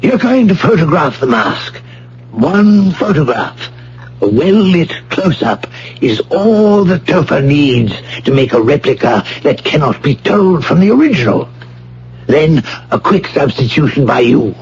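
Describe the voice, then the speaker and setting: An actor playing a suave, condescending, menacing art thief and schemer in a radio drama. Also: male voice, a little high, very animated, a little slow, a little mellow. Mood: coaxing, scheming. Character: smooth, menacing self-confessed male art thief and schemer. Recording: dull old radio broadcast with hum.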